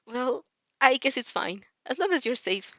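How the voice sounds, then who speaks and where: Girl doing a nervous sweet anime boy, high bright voice, stammers, gets flustered. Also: very animated, normal speed, dry recording, heavily acted. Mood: exasperated, relieved. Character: shy, anxious, caring teenage boy hero fan.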